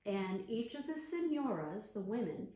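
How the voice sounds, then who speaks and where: A woman librarian reading a storybook aloud to children on a livestream, gentle and encouraging. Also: mid-range voice, sing-song, moderate pace, a little hiss or hum. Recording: echoey room.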